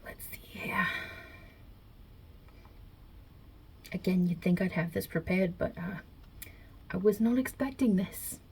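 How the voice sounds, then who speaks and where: A woman's voice: on the low side, mellow, slight background noise, lightly acted. A woman playing a non-binary Italian mob boss God with a put-on New York Italian-American accent, wry and casual with muttered asides, in an ASMR roleplay.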